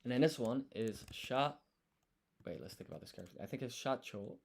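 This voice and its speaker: A man's voice: mid-range voice, expressive, brisk, gravelly, background noise. A man livestreaming casually as he studies, thinking aloud and sounding out words syllable by syllable. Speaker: live streamer studying Japanese. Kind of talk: casual live study chat.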